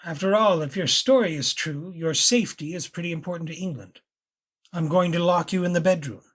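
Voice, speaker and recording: A man playing a plucky gentleman hero in an audio play, earnest, questioning and insistent. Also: on the high side, brisk, husky. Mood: puzzled, firm.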